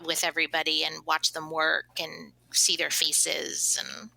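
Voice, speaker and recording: A woman with a lowish, very bright and forward voice chats casually. She speaks as a cast member in a relaxed group interview. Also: a little slow, vocal fry, no echo, poor audio. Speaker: cast member of an audio drama.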